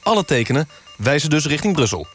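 Male radio newsreader: straight-faced, serious, scripted satirical bulletin, soft music bed. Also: a little high, fast talker. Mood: deadpan, solemn. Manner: deadpan. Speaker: news presenter.

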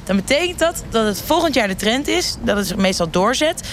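A woman's voice: a little high, big pitch swings, brisk. A woman playing a self-absorbed, shallow trendwatcher who chatters in trendy slang and trails off, in a satirical radio street interview. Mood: breezy, self-assured. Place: outdoors on a shopping street. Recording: outdoor street noise.

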